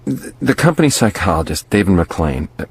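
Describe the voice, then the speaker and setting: An actor playing an uneasy, irritated middle-aged father in a radio drama, stern but evasive, with halting, hesitant speech. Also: sits low, big pitch swings, slightly rough, heavily acted. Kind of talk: naturalistic radio drama acting.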